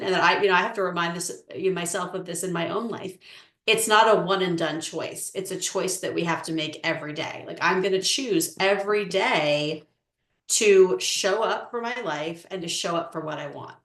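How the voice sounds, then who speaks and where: A woman coach answering interview questions in a measured, explanatory way with frequent "um"s. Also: lowish voice, a bit bright. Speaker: relationship coach. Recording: echoey room.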